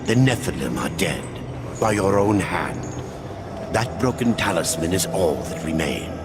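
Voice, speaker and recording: An actor playing a cryptic old keeper of crows in a video game, gravelly and theatrical. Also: male voice, low-pitched, sing-song, measured pace, full character voice. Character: sly, ominous old crow keeper, cryptic mentor. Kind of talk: video game cutscene acting. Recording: music behind the voice.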